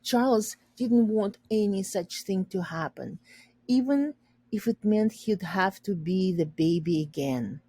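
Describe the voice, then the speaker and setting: A woman teacher reading a storybook aloud, pausing to explain and rephrase words simply for learners. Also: mid-range voice, animated, dark tone, breathy edge, dry recording.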